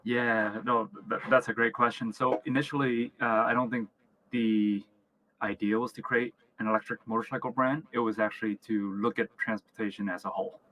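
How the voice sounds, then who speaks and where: Bright-voiced man answering interview questions haltingly. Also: medium pitch, fairly even intonation, rough recording. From Vietnam and upstate New York. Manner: halting, repeats words, often checks in with "right?". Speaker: company founder and chief executive, interview guest.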